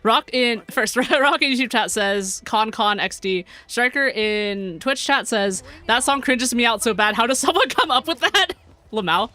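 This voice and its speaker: A woman's voice: fairly high pitch, expressive, a little slow, sharp tone. A young American woman chatting casually while streaming a game, reading chat aloud, giggling often and rambling through her sentences. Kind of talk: casual gameplay livestream chat.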